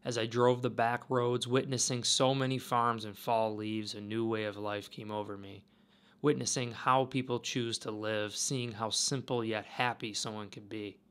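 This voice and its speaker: A man narrating a travel vlog in a calm, wistful, musing way. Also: medium pitch, normal speed, smooth. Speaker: travel vlogger. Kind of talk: reflective voice-over narration.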